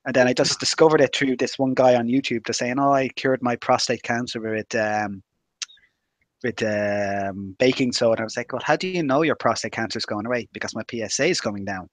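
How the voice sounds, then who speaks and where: A man's voice: mid-range voice, brisk, no echo. A man talking casually and anecdotally as a podcast co-host.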